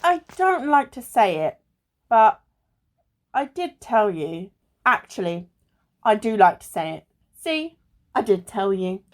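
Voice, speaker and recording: A woman's voice: mid-range voice, very animated, slow pace, long pauses. A woman acting a wary, bossy, teasing young adventurer in an amateur radio play. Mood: smug, wary.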